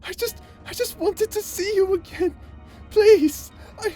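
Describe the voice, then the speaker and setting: An unhinged space villainess with a high voice and slow, halting delivery. She is lovesick, vengeful and tormented, theatrical in every phrase, in an ASMR audio drama with music underneath. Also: dark tone. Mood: anguished pleading. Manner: theatrical, halting, swinging between tender menace and anguish.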